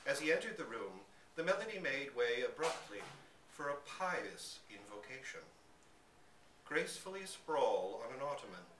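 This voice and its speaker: A man giving an expressive live dramatic reading of a literary short story. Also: medium pitch. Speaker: story reader. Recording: echoey room, distant mic, background noise.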